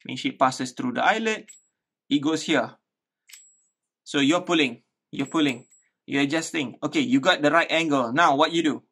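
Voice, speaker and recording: Higher-voiced man giving a casual DIY demo. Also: normal speed, pauses often, bright, forceful tone. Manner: conversational, thinking aloud with repeated words. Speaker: DIY tutorial host. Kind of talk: casual hands-on how-to explanation. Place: small living room indoors.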